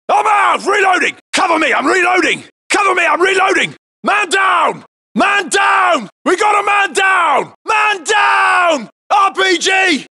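An actor playing a tough British SAS soldier in a military shooter game, yelling urgent, clipped battle callouts. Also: male voice, extremely high pitch, gravelly, exaggerated voice.

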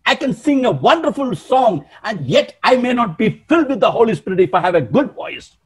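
Older guy preaching over a webcam, kinda husky, fired up, keeps calling out "hey hey". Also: very high-pitched, a little fast. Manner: emphatic, animated, gesturing, calling out to listeners. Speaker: pastor. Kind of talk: sermon. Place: small room indoors.

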